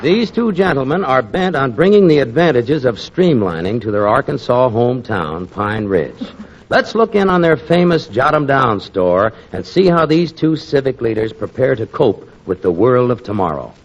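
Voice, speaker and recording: Polished 1940s American radio announcer, lively man. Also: medium pitch, normal speed, breathy edge. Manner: polished, upbeat showmanship. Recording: dull 1940s radio recording.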